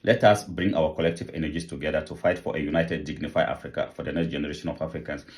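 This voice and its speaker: A man's voice: rumbling low, steady tone, rapid-fire. A man hosting an online channel, talking earnestly to viewers with repeated rhetorical questions. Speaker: channel host. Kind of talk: vlog commentary, appeal to viewers.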